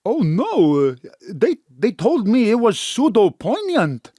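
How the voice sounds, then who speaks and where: Keen streetwise sidekick, slangy, highish lively voice, comic radio play. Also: female voice, slow pace, dry recording, studio quality, full character voice. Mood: earnest. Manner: colloquial, eager. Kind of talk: comic audio drama acting.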